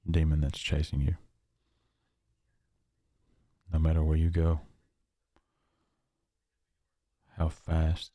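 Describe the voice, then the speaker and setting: Man playing a haunted survivor, very deep, flat voice. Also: no echo, clean studio sound. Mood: haunted, afraid. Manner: halting, haunted, confessional, with long pauses. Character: shaken, paranoid male survivor of a nightmare realm. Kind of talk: found audio log horror monologue.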